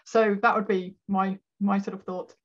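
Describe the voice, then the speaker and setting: A woman's voice: mid-range voice, little pitch movement, normal speed. A woman taking part in an online workshop, giving a spontaneous comment. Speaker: workshop participant. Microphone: video call microphone. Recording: dull video call audio.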